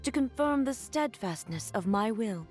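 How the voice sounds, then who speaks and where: A woman's voice: fairly high pitch, lively intonation, normal speed, soft background music, lightly acted. Stern, regal warrior ruler played by a woman. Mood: solemn respect. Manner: formal, stately. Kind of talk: scripted game character voice lines.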